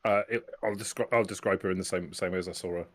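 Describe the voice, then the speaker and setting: A man's voice: deep voice, steady tone, fast, crowd noise. A man chatting casually as a player in a tabletop role-playing game.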